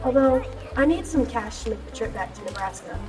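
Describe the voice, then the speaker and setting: Radio play role: downcast, dry young woman starting over played by a woman, fairly high voice, clear music behind. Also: lively intonation, a little fast, lightly acted. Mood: reflective. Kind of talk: amateur radio play acting. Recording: distant mic, music behind.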